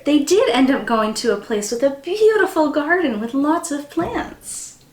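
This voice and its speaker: Very animated, high-voiced young woman reading aloud. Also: a little slow, reverberant. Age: young adult. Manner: exaggerated wonder, sing-song emphasis. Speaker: teacher reading storybooks to children. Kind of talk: animated children's read-aloud. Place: small room indoors by a window.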